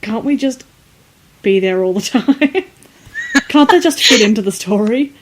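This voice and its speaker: A woman's voice: on the high side, animated, unhurried, slight background noise. A woman podcast co-host chatting casually, questioning things in a playfully skeptical, hesitant way. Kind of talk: casual chat.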